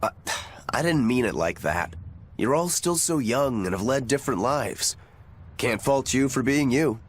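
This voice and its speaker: A man's voice: mid-range voice, expressive, measured pace, husky. A man playing an easygoing, frank elder swordsman in a video game, casual and wry. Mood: placating. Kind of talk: animated video game dialogue.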